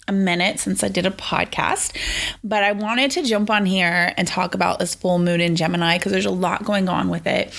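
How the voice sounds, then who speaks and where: Sniffly woman with a gravelly, creaky voice. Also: medium pitch. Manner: chatty, rambling, lots of "like" and "um". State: sick and sniffly. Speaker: podcast host. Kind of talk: casual solo podcast chat.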